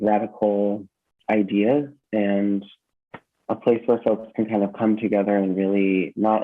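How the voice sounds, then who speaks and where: Low-voiced man on a phone-like line. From San Francisco Bay Area, United States. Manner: earnest, thoughtful, halting with repeated words. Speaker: gym founder, interview guest. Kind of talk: reflective interview answers. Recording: muffled phone-like call audio.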